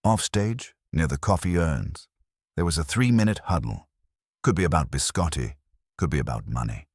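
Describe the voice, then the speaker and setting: A man reads as an observant investigator in a mystery audiobook. His very deep voice is husky and moves expressively. The delivery is terse, dry and matter-of-fact. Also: expressive, normal speed, darkish tone, no echo, full character voice. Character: terse, observant male investigator reporting by phone.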